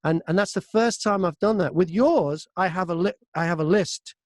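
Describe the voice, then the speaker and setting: A man with a fairly high voice talks casually as a guest in an interview. He tells work stories in a conversational way, voicing bits of remembered exchanges. The recording is dry, with very little room sound. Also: normal speed, no room sound. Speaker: interview guest, audiobook narrator and voice actor.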